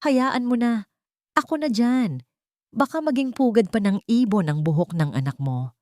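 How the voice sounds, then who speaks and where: A woman voicing a gentle, forgiving young wife and mother with a sincere, measured delivery. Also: fairly high pitch, lively intonation, no room sound, voice pushed up. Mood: easygoing.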